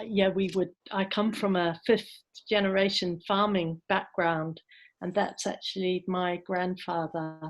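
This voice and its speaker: Low-pitched, reflective New woman presenting. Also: rough recording. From New Zealand. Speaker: presenter from a community organisation. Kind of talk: informal presentation on an online call. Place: online video call.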